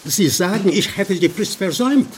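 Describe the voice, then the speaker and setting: A man's voice: high-pitched, lively intonation, moderate pace, noisy background. An actor playing an aggrieved yet charming Portuguese-speaking man in a radio play. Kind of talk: radio drama acting.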